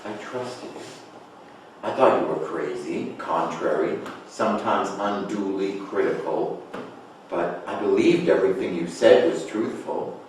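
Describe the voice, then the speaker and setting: A man's voice: sits low, unhurried, darkish tone. A man playing a wounded, defiant writer who accuses his friend, in a table read of a stage play. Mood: accusing. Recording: echoey room, distant mic, faint noise.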